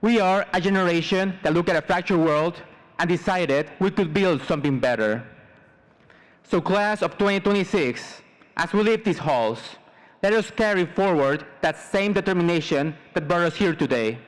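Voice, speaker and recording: A young man in his twenties from Mexico, giving a formal prepared commencement speech as a student, in measured short phrases. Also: high voice, animated, poor audio. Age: 20s. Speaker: student salutatorian.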